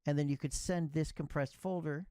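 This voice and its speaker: A man narrating a software tutorial step by step in a clear, instructional way. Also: fairly high pitch, steady tone, normal speed, no echo.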